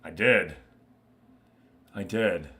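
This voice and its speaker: A man's voice: low-pitched, drawn-out words, sharp tone. A man hosting an online football management game league, chatting casually and informally with his viewers as he commentates.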